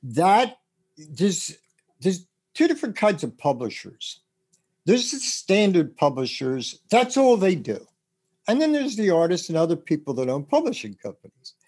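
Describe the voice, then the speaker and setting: A man hosting a podcast about the music business, rambling and animated as he gives advice, with a rough voice. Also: sits high, unhurried. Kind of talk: casual advice chat.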